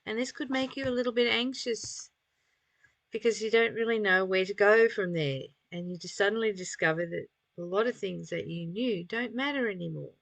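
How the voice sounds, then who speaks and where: Woman doing a spiritual study reading, slow w/ pauses, chatty asides, really dry recording. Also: medium pitch, no room sound. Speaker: spiritual study teacher reading aloud and commenting. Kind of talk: reflective spiritual reading with informal commentary.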